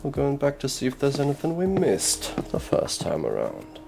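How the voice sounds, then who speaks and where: A man playing a gruff, sardonic detective in an audio roleplay drama, drawling and murmuring through his pauses. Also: medium pitch, wildly swooping pitch. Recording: music behind the voice.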